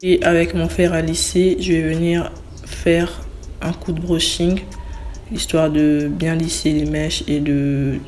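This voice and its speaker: A woman's voice: deep voice, slow, soft background music. Young woman with a cold, narrating. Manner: relaxed, step-by-step explaining. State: has a cold. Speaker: beauty YouTuber. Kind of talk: tutorial voice-over.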